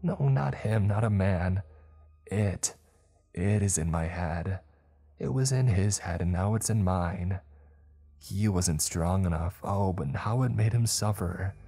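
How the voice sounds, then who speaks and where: A man's voice: deep voice, takes it slowly, vocal fry, warm tone, slightly rough, voice pushed up, exaggerated voice. A man voicing a terrified, tormented man who pleads and chokes through sobs in an audio horror story. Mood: tormented, pleading. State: crying. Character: terrified, sobbing man tormented by an entity in his head.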